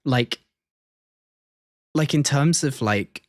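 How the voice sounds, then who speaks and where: A man's voice: medium pitch, drawn-out words, no echo, studio quality. A man hosting a livestream with casual reaction commentary, thinking aloud in a hesitant way and repeating words as he goes. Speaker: livestream host.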